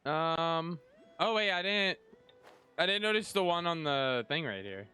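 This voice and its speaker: A young man chats casually through his gameplay, thinking aloud in a rambling way. His voice is bright and clean with very lively pitch, and soft music plays behind him. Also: a little slow, clean tone. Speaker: gaming streamer.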